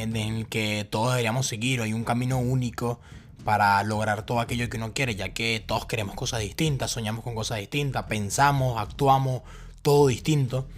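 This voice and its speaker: Man, twenties, Venezuelan accent, casual vlog storytelling, lots of fillers, mid-pitched voice. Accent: Venezuelan Spanish. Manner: casual and talkative, with many fillers and repeated words. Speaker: vlogger. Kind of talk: personal story told to camera.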